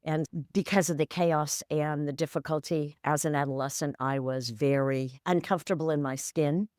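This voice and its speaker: A woman in her sixties tells her story as a podcast guest. Her voice sits low and sounds smooth and resonant. She speaks in a candid, wry, matter-of-fact way, and the recording is dry and close. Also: fairly even intonation, normal speed, a little mellow, no echo. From San Francisco, USA. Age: 60s. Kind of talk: reflective storytelling interview.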